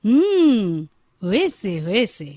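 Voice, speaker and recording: Lively young Bangladeshi village mother. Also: on the high side, slow pace, no echo, studio quality, exaggerated voice. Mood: playfully strict. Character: caring young village mother, dutiful daughter-in-law, gently strict. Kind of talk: Bengali radio drama acting.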